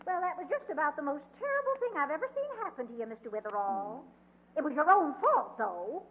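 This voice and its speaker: An actress playing an excitable, prim middle-aged woman in a 1940s radio drama, fussy and exclamatory. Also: sits high, a little fast. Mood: scolding. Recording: phone-like old radio broadcast.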